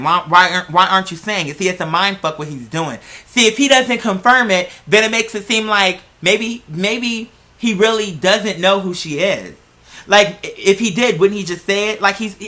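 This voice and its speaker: A woman with a bright, forceful voice at a middling pitch delivers casual reaction commentary. She is blunt and skeptical, leaning hard on her points and swearing freely as she hosts. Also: moderate pace. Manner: blunt, skeptical, profane, emphatic. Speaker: host of a reaction video.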